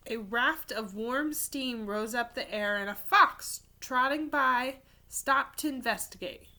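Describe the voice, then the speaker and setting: A woman teacher reading a picture book aloud to children in an expressive storytelling style, with casual explanatory asides. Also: fairly high pitch, slow pace. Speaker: third grade teacher reading to pupils.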